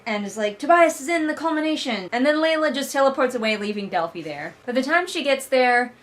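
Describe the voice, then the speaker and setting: A woman's voice: a little high, fairly bright tone. A woman hosting a read-along, casually recapping and critiquing a story with sarcastic, exasperated commentary and casual swearing. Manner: acts out mocking character quotes. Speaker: host of a book read-along.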